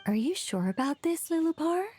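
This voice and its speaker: A woman voicing a gentle, concerned companion in a video game, with a soft, reassuring manner. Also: very high voice, sing-song, normal speed. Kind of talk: dramatic video game voice acting.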